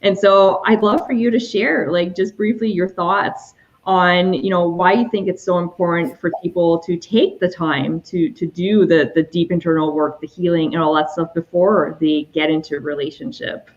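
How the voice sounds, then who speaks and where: French-Canadian woman host, effusive, low voice on a dull lo-fi video call. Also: steady tone, normal speed. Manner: effusive, gushing praise. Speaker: host of a dating show. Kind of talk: casual livestream chat.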